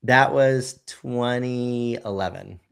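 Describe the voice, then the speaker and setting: A man's voice: mid-range voice, slow pace, vocal fry, clean tone. A man in his thirties, a brewery co-founder and podcast guest, telling a loose, casual story full of "you know" and "right?".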